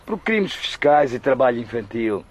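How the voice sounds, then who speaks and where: A man's voice: mid-range voice, big pitch swings, normal speed, slightly rough. An actor playing a worried middle-aged father who is hiding from the police, talking to his son in a radio drama.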